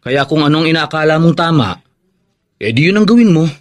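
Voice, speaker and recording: An actor playing a supportive, reasonable older brother in a Filipino radio drama, speaking reassuringly. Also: male voice, fairly high pitch, lively intonation, fairly quick, no echo, lightly acted.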